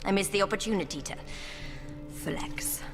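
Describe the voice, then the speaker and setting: An actress playing a sadistic, haughty vampire queen villain in a video game, speaking with mocking, deliberate menace. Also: medium pitch, close to an everyday voice. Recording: long hall reverb with music behind.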